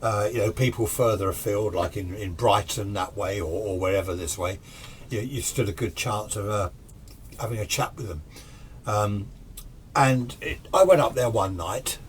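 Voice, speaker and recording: A man shares a personal anecdote off the cuff. He rambles conversationally and quotes both sides of remembered exchanges. There is some steady noise behind him. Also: fairly low pitch, normal speed. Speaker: storyteller recounting personal radio anecdotes. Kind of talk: casual unscripted spoken storytelling.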